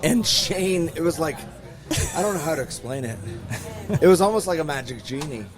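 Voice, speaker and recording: Man, late thirties, teasing, rambling, slightly raised pitch, noisy crowd outdoors. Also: expressive, normal speed. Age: 30s. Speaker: podcast guest. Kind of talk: casual banter. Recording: loud crowd noise outdoors.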